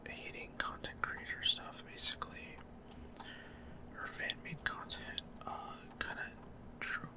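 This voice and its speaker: A man whispering casual, rambling gameplay commentary in a hesitant, breathy ASMR style. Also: a little slow. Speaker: gaming video creator.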